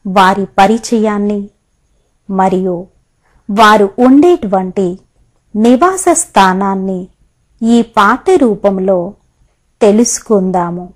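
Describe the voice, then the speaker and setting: A woman presenting scripted religious narration, measured and delivered phrase by phrase. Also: medium pitch.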